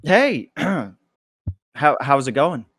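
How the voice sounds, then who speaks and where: A man's voice: fairly high pitch, sing-song, extremely slow, a bit bright, no room sound, clean studio sound, exaggerated voice. A man playing a nervous, self-deprecating college freshman with a hesitant delivery in a script table read. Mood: nervous, awkward. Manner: hesitant, stammering when nervous.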